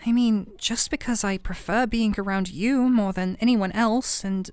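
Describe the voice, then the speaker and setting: A woman's voice: medium pitch, steady tone, a little slow, darkish tone, clear, resonant tone, dry recording, lightly acted. A woman playing a shy, flustered best friend with a hidden crush in an intimate audio roleplay, talking in a hesitant, teasing, conversational way.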